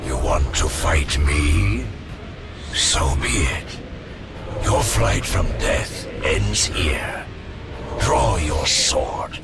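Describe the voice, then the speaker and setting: An actor playing an ancient, menacing spectral king of death in a video game, with a gravelly voice and solemn, archaic, grandiose delivery. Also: animated, extremely slow, exaggerated voice. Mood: threatening. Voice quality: very deep, gravelly. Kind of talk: dramatic video game villain acting. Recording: loud music under the voice.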